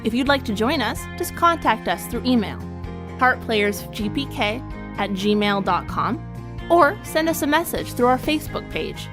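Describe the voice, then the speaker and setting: A woman's voice: on the high side, expressive, normal speed. Woman radio announcer over prominent music. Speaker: announcer for an amateur theatre group. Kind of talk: scripted narration, radio sign-off.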